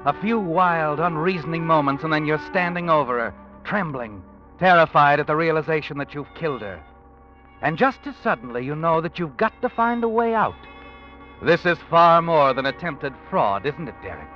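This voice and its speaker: Faint music sits under a dull vintage radio recording of an actor as a sinister male narrator. He speaks in an insinuating, dramatic manner, his pitch a little raised. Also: lively intonation, moderate pace, close to an everyday voice. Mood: ominous, taunting. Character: ominous, all-knowing narrator taunting a killer in second person. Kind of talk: 1940s radio mystery narration.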